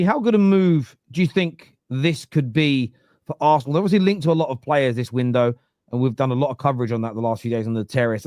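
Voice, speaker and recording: A man hosting a football chat with a jokey, easygoing delivery that often trails off and restarts. His voice is at a middle pitch. It sounds dry and close to the mic, with no echo. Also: a little mellow. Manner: jokey, loose, hesitant. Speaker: podcast host. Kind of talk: casual football chat and ad read.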